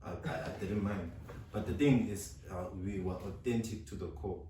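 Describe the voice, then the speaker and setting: Low-voiced male podcast co-host, stammering restarts, roomy distant studio sound, faint background hum. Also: mellow. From South Africa. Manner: stuttering, halting restarts. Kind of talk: casual studio chat. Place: recording studio.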